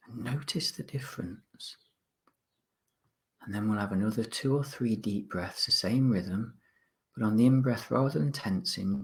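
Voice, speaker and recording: A man instructing listeners through a guided body scan relaxation, speaking politely in short phrases with pauses. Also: fairly low pitch.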